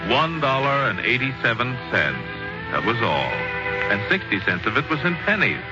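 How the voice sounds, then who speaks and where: A man narrating a story in dramatic 1940s radio style, with a theatrical, wry, measured delivery. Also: medium pitch, sing-song, over loud music. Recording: muffled, noisy 1940s radio recording.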